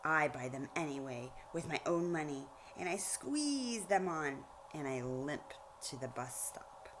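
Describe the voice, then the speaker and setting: A woman reading a storybook aloud like a teacher, pausing to explain things directly to young listeners. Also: sits low, animated, noisy background. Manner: explanatory, addresses children directly.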